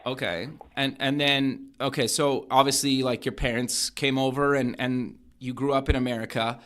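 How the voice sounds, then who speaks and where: A man's voice: medium pitch, steady tone, normal speed, a bit bright. A man hosting an interview podcast, asking questions hesitantly with many "um"s and "kind of"s. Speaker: podcast host. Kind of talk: interview host.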